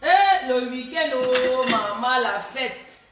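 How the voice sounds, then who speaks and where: A woman's voice: high voice, animated, slow pace, music in the background. A middle-aged woman, chatting casually on a livestream while she has a cold, repeating herself and answering viewers' comments directly. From Cameroon. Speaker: social media livestreamer. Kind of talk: casual live chat with viewers.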